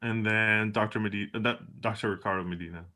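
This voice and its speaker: A man's voice: lowish voice, steady tone, quick pace, pushed and bright, a little hiss or hum. A man from El Salvador, a doctoral student, giving earnest, reflective opening remarks as the moderator of a panel.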